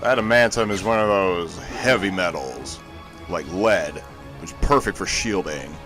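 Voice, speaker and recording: A man with a fairly low voice banters casually as a gaming co-commentator. His delivery is wry and deadpan. Music plays clearly behind him. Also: measured pace. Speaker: let's play co-commentator.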